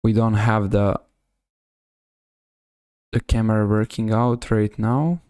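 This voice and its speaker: A man with a Serbian accent giving live chess commentary on a stream, halting and often rephrasing himself. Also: lowish voice, flat delivery, a little mellow, clear, resonant tone, no room sound, clean studio sound.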